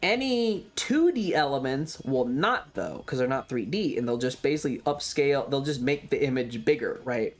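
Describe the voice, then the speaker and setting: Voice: medium pitch, lively intonation, moderate pace, few pauses. A streamer chatting casually and opinionatedly on a livestream, rambling and repeating points, with some casual swearing. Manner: casually profane. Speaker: variety streamer.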